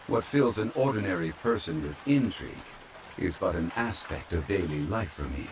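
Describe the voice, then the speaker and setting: Guy doing a deep calm ancient god gentleman from a fantasy game, super polite n formal, male asmr, rain in the background. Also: moderate pace, noisy background. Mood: calm, courteous. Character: calm, courtly ancient male former god, old-fashioned and unhurried. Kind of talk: soft ASMR roleplay, fantasy game character. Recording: steady rain sound over the voice. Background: rain falling.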